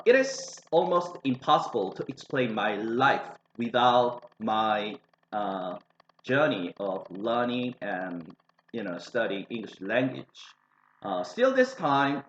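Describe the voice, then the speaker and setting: A man in his forties with a Korean accent, speaking English as a second language, talking about his life in a hesitant way with many pauses and restarts. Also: mid-range voice, a bit bright, reverberant, muffled recording, slight background noise. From South Korea. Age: 40s. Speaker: English teacher. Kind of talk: casual personal monologue.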